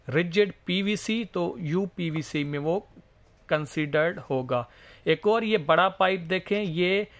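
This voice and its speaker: A man's voice: on the high side, fairly bright tone. A man giving an informal hands-on explanation as an instructor, going step by step and repeating key points. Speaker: plumbing instructor and video presenter.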